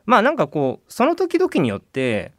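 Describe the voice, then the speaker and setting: A man co-hosting a podcast, politely steering the topic and giving steady backchannel replies. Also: sits high, moderate pace, no room sound, studio quality. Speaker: podcast co-host. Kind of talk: casual chat.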